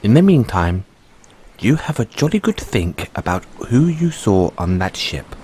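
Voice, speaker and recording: A man playing a proud, secretive merman prince in an audio roleplay, speaking formally and deliberately, courteous but wary of humans. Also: fairly low pitch, very animated, darkish tone, a little hiss or hum. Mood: businesslike, courteous. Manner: formal, deliberate, measured phrasing with dramatic pauses. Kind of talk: scripted audio roleplay addressed to the listener.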